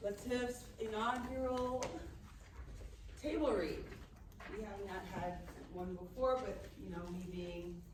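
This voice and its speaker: A woman's voice: medium pitch, slow pace. Woman hosting in a very echoey room. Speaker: executive producer hosting the event. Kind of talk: informal event introduction. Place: live event room, indoors. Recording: noisy, very echoey room, distant mic.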